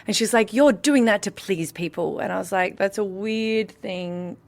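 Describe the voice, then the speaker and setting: A woman in candid conversation, telling stories in an animated way and acting out remembered dialogue. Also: medium pitch, moderate pace, gravelly.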